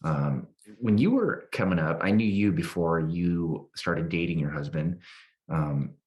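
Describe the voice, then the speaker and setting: A man with an extremely low voice talks in a reflective interview style. He hosts the conversation and picks his phrases in a measured, slightly hesitant way. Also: expressive, darkish tone. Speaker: podcast host, police officer.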